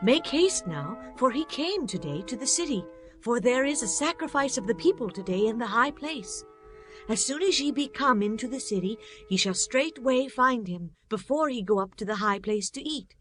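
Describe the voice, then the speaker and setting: A woman's voice: a little high, expressive, moderate pace, fairly bright tone, faint music behind. A woman playing a helpful young maiden giving urgent directions in a dramatized scripture reading. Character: helpful young maiden at the well, urging haste.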